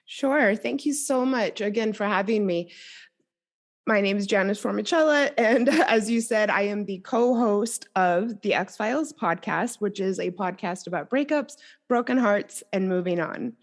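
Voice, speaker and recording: A woman's voice: mid-range voice, a little slow. A woman speaking as a coach and podcast guest, explaining her approach conversationally and thoughtfully.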